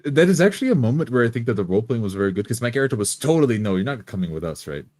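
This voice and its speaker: A man talks rapidly and with lively intonation. He is a tabletop player in casual, informal conversation about the game, at a middling pitch.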